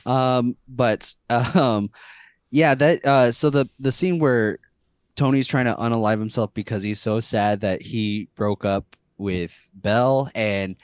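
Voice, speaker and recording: A man hosting a podcast chat with friends, stopping and restarting his sentences often. His voice sits at an ordinary pitch. The mic sound is dry and slightly muffled. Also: clean tone, dry recording. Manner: halting, many fillers and restarts. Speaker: podcast host. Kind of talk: casual chat.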